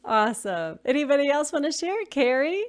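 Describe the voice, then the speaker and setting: Lively high-voiced woman leading a workshop. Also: fairly quick. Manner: effusive, laughs often. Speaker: workshop leader. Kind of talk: encouraging workshop facilitation.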